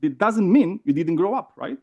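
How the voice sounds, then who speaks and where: A man's voice: sits high, moderate pace, gravelly, dull audio. Romanian-accented man debating heatedly. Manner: animated, repeats words, keeps asking "right?".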